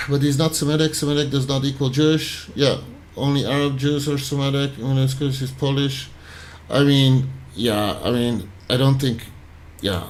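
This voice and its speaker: A man from Iran hosts a livestream, talking casually and loosely, with his thoughts wandering as he reacts to viewers' comments. His voice sits in a middle pitch, and his phrases often trail off or restart. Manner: rambling, thinking aloud, reacting to chat. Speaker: livestream host.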